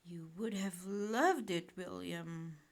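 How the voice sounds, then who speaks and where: A woman voicing a kindly old grandfather mouse in a storybook, fond and unhurried as he reminisces. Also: fairly low pitch. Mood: fond, reminiscing.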